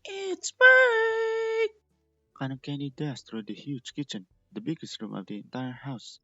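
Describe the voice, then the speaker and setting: A man's voice: mid-range voice, fairly quick, a little mellow, no echo, soft background music. Man narrating a story, dull dry recording. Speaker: fan fiction reader and narrator.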